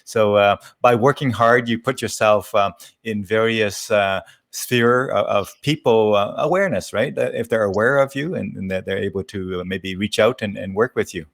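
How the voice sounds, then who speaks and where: A man hosting a casual interview, talking in a halting, explanatory way and often checking in with a questioning tag. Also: lowish voice, vocal fry. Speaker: interview show host.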